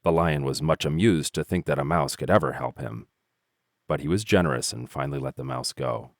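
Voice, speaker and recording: A man narrating fables in an expressive, measured storytelling style. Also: rumbling low, no room sound, clean studio sound.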